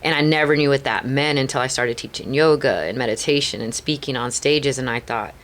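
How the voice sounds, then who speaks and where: A woman's voice: rumbling low, a little fast. A middle-aged Chicana woman with a Chicana accent, telling candid stories as a podcast guest, earnest and full of "like" and "right?". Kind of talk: candid storytelling interview.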